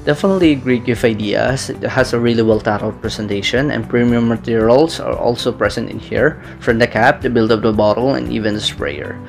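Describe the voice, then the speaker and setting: A young man narrating a scripted fragrance review in a steady, methodical, descriptive way, recorded indoors on camera. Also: medium pitch, a little fast, few pauses. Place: small indoor room with brick-pattern wall. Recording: clear background music under voice. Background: background music.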